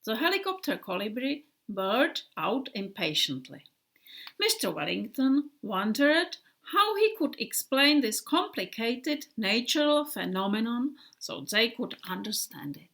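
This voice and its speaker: A woman from the Czech Republic reading her children's book aloud in a slow, expressive manner. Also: fairly high pitch.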